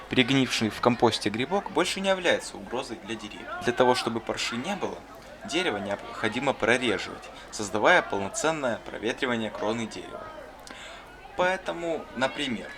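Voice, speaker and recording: A man plays a thoughtful, kindly schoolteacher for an animated film. He explains in an earnest, didactic manner with bookish phrasing. Music plays clearly behind the voice. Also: mid-range voice, natural voice. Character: thoughtful, kindly male schoolteacher who talks like a gardener. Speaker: teacher answering interview questions. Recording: voice over clear background music.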